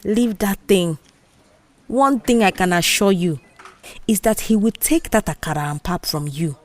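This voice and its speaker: A woman voicing a bold, mischievous young office worker with a Nigerian accent, animated, dismissive and persuasive, in an audio drama. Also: mid-range voice, a little slow, natural voice. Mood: confident, brash. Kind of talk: audio drama character dialogue.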